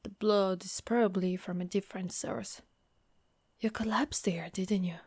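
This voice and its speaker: A woman's voice: mid-range voice, steady tone, measured pace, a little mellow, breathy edge, no echo. A woman voicing a caring, wry female Dullahan, an undead knight, in a reassuring, teasing, conversational ASMR monster roleplay. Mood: caring, concerned. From Italy. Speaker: voice actress.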